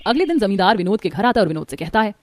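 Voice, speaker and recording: Fast-paced woman narrating a cartoon story. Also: mid-range voice, very animated.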